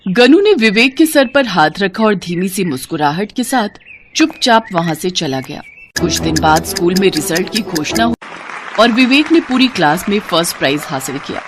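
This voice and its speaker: A woman narrating a children's moral tale in an expressive storytelling manner. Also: medium pitch, moderate pace, crowd noise, background noise.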